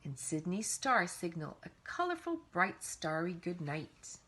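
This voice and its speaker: A woman reads a children's storybook aloud in an animated way, leaning on key words with dramatic emphasis. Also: fairly low pitch, measured pace, slight background noise. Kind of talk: expressive read-aloud storytelling.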